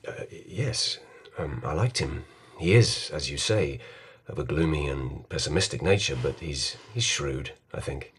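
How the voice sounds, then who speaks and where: A man acting an earnest, suspicious palace lieutenant in a radio drama, halting and deferential in speech. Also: extremely low pitch, lively intonation, heavily acted. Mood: hesitant, suspicious. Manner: hesitant, throat-clearing, deferential.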